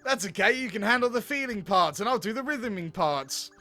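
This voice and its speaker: A man's voice: sits high, lively intonation, a little fast, bright, forceful tone, exaggerated voice. A man playing a scatterbrained, overconfident, dramatic loafer in a comedy visual novel, with silly delivery. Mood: enthusiastic.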